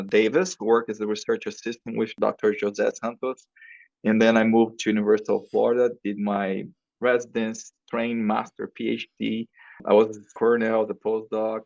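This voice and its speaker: A dull, dry recording of a man with a Brazilian accent. His low voice has a gravelly creak, and he explains things as a professor being interviewed, in non-native English phrasing. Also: no echo. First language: Portuguese. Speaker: podcast guest, professor and researcher.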